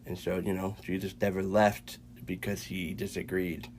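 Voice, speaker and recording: A man with a congested, slightly raspy voice that sits a little low. He talks haltingly, circling back with fillers and restarts. A faint hum of noise runs behind him. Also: little pitch movement, darkish tone. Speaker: podcast co-host. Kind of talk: casual faith chat.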